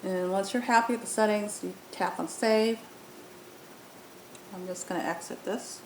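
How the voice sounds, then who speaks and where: Echoey woman giving a step-by-step software tutorial. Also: fairly even intonation, moderate pace. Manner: hesitant, explanatory, step-by-step. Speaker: app demo presenter. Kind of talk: unscripted software tutorial narration. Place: indoor room, voice-over on screen recording. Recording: roomy echo, faint rumbling noise.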